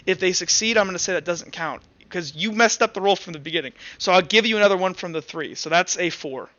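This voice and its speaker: High, bright-voiced man running a game. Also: quick pace, no echo, muffled recording. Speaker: game master. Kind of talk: improvised tabletop roleplay narration and rules talk.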